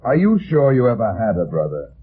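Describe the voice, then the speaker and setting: An actor playing a tough, brusque, sardonic private detective in an old radio drama. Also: male voice, mid-range voice, wildly swooping pitch, fairly quick, breathy edge. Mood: hard, suspicious. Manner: brusque, commanding, hard-boiled. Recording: tinny, narrow-band old radio recording.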